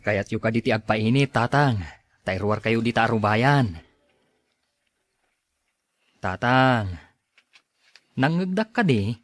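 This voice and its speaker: A man plays a dutiful grown son in an audio drama. He speaks briskly and attentively, as to an elderly parent in his care. The recording is dry and close. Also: mid-range voice, lively intonation, dry recording. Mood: attentive, coaxing. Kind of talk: Ilocano audio drama acting.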